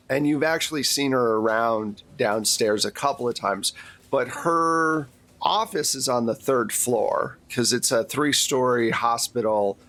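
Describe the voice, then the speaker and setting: Male game master, mid-pitched, casual and conversational, narrating tabletop role-play to players. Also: fairly bright tone. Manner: casual, profane, conversational storytelling.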